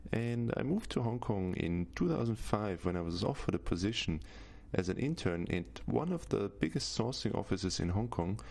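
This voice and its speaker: Gravelly Austrian-accented man, lively pitch. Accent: Austrian German. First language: German. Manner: steady, list-like delivery with frequent "Now" openers. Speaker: course instructor. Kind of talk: scripted course introduction.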